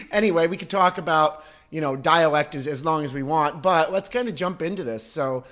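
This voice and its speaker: A man's voice: a little high, normal speed, a bit bright. A man hosting a podcast, chatting casually, laughing easily and setting up long questions. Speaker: podcast host.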